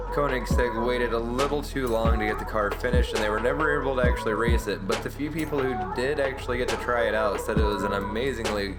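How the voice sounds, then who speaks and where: A man with a sore, fading voice giving casual gameplay commentary as a YouTuber, rambling through car details. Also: medium pitch. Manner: relaxed, rambling car-enthusiast explanations. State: sore throat, voice going in and out. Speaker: gaming YouTuber. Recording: loud music behind voice.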